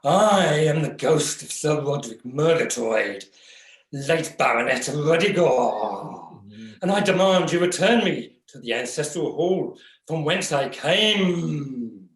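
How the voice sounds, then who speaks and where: A man's voice: fairly high pitch, lively intonation, takes it slowly. A man acting the haughty, peevish ghost of an aristocratic baronet in a pantomime. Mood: imperious. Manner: pompous, haughty. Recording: echoey video-call audio.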